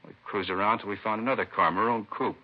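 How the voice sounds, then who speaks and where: Guy playing a jittery american crook in an old radio play, defensive, talks fast, low voice. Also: fairly even intonation, close to an everyday voice. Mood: defensive, hurried. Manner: defensive, clipped, insistent. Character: nervous male robbery suspect blaming his partner. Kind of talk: 1950s radio crime drama acting. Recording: muffled vintage 1950s radio recording.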